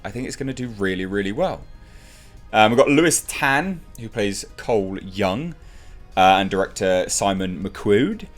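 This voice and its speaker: A man's voice: lowish voice, animated, unhurried, faint music behind. A man giving casual, enthusiastic movie news commentary as an online reviewer, leaning on emphatic repetition. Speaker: YouTube film news reviewer.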